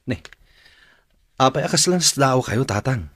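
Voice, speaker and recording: A man playing an aggrieved, hurried and complaining security guard in a radio drama. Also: mid-range voice, animated, a bit bright, breathy edge, no echo, clean studio sound. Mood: grumbling.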